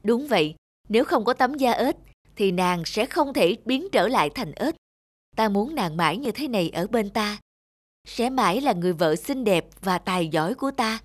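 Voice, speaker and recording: A woman's voice: medium pitch, expressive, normal speed, dry recording, close to an everyday voice. A woman voicing an earnest, lovestruck young prince in a fairy-tale cartoon, with formal phrasing. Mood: tender.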